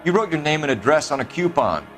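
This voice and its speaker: 1940s American detective, crime game, clipped, formal, persistent, music behind. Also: male voice, a little high, very animated, fast. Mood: pressing. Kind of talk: video game acting, period crime drama.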